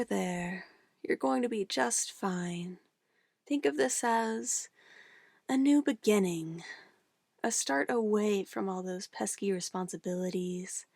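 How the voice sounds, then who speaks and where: A woman playing a sweetly menacing, possessive witch in an ASMR roleplay, cooing and coaxing condescendingly in a soft voice. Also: mid-range voice, creaky, no echo, studio quality. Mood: soothing, sinister. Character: possessive young-sounding witch, sweetly menacing, doting on her familiar.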